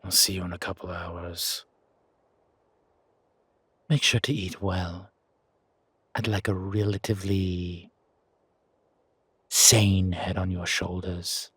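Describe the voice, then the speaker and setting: A man's voice: sits low, flat delivery, slow, long pauses, fairly bright tone, breathy edge, dry recording, full character voice. A man voicing an imperious, scolding yet protective ancient deity in a fantasy audio roleplay, commanding and teasing. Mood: stern.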